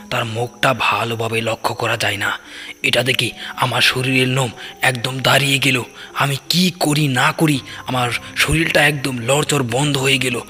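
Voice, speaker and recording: A man's voice: mid-range voice, sharp tone. A man narrating a scripted first-person ghost story in a dramatic storytelling style. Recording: long cavernous reverb, music underneath. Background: background music.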